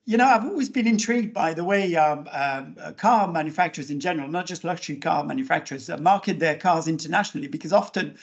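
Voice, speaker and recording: Man, podcast host, hesitant with fillers, fairly high voice, muffled lo-fi sound. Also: fast talker, few pauses. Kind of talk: casual interview. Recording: dull lo-fi audio.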